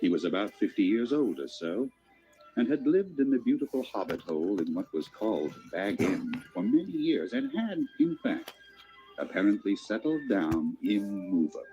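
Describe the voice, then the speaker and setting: A man narrating a fantasy radio play as a storyteller, measured and expressive, savoring each detail. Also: on the low side, muffled recording. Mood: storytelling. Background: music.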